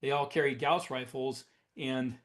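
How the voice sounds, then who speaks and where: A man's voice: medium pitch, fairly even intonation, measured pace, fairly bright tone. A man co-hosting a podcast, explaining his opinions in casual conversation. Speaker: podcast co-host.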